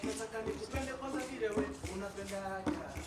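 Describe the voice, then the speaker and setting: A woman singing a love song, crooning drawn-out lines that mix in Swahili verses. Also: a little high. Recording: echoey, distant, over loud music.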